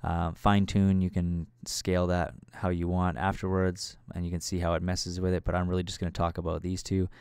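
A man narrating a casual software tutorial in an informal, loosely worded, step-by-step way. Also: sits low, monotone, a little fast, creaky, few pauses, clear, resonant tone, no echo, clean studio sound. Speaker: tutorial presenter.

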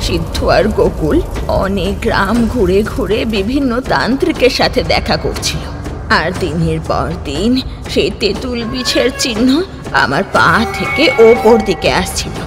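A woman voicing a stern, wise old village sorceress who gives firm orders and tells dark tales. Loud music plays behind her. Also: medium pitch, very animated, moderate pace, lightly acted. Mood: storytelling. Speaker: healer of the story.

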